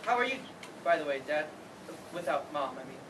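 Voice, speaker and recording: A man's voice: a little high, big pitch swings, brisk. A man playing a blunt soldier son around thirty in a staged play reading. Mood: earnest. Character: blunt thirty-year-old soldier son, organized, married younger brother. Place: theater stage, recorded from the audience. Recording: distant, noisy live theater recording.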